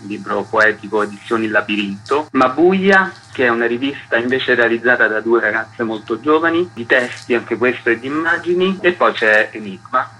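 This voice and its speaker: A man's voice: mid-range voice, normal speed, few pauses, pushed and bright, rough recording. A man speaking as a guest artist in a radio interview, explaining his work in detail. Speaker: guest comic book artist and author.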